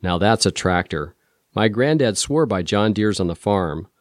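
A man's voice: lowish voice, lively intonation, unhurried, no room sound, studio quality. A man playing a young, folksy, dreamy policeman from Oklahoma in an audiobook story. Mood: dreamy, entranced. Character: young dreamy country-bred male cop from Oklahoma.

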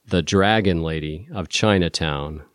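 A young country-bred policeman from Oklahoma, voiced by a male audiobook narrator. He is dreamy and folksy, with a modestly low voice. The sound is dry. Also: unhurried, clear, resonant tone, no room sound. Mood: amused.

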